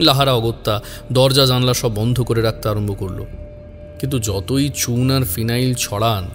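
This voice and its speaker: A man narrating a scripted horror story in a suspenseful, measured way, drawing out key words. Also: medium pitch, fairly even intonation, faint music behind.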